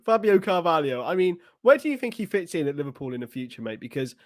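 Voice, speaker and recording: A man's voice: fairly high pitch, sing-song, quick pace, no room sound. A young English man, a student, co-hosting a casual football podcast, laughing easily and arguing back playfully. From England. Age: young adult. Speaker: podcast co-host. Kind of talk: casual banter and football chat.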